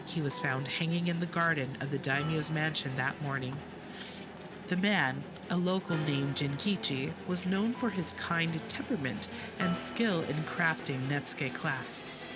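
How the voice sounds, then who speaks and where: A streamer who is a little sick reads a visual novel aloud in a let's play style. The narration is dramatic, broken up by casual asides, and loud game music plays underneath. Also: mid-range voice. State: slightly sick. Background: video game music.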